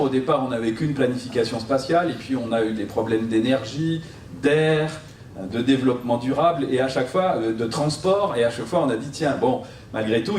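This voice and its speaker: A man answering as a planning expert at a seminar, explaining off the cuff with a hesitant, halting delivery. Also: mid-range voice, a little mellow. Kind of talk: spontaneous public explanation. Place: seminar room, recorded from a distance. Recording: roomy, distant mic, faint noise.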